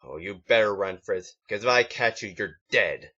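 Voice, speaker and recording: A man's voice: lowish voice, normal speed, sharp tone. A hot-headed young male pony recruit, read by a man in a storybook tale, small but defiant. He speaks in a terse, dry way on a muffled recording. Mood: cocky threat.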